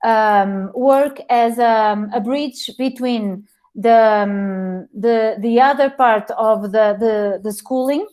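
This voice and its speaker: A woman with a Portuguese accent presenting a webinar over a video call, halting often and restarting her sentences. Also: a little high, darkish tone. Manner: hesitant, frequent pauses and restarts.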